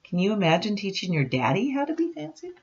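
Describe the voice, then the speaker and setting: A woman's voice: medium pitch, fast talker. An older woman reading a picture book aloud to her grandchildren, chatting to them expressively as she goes. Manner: expressive, addressing children directly. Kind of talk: read-aloud storytelling with chatty asides. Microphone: computer webcam microphone. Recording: dull, lo-fi home webcam sound.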